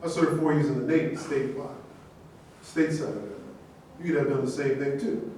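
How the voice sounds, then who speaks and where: A reasonable but reproving veteran played by a man argues plainly in a mid-pitched voice. He is heard at a distance in a very echoey, faintly noisy room. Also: fairly even intonation, fairly quick, mellow, lightly acted. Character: reasonable, reproving male actor and Navy veteran. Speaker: actor in a staged reading. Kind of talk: staged play reading. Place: echoey indoor room at a staged reading.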